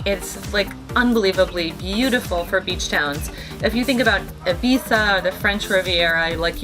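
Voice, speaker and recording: A woman hosting a weekly wine tasting, describing wines in a chatty, bubbly way with lots of "like" fillers, with music under her voice. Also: medium pitch. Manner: chatty, bubbly, heavy use of "like". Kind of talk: casual wine tasting presentation. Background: background music.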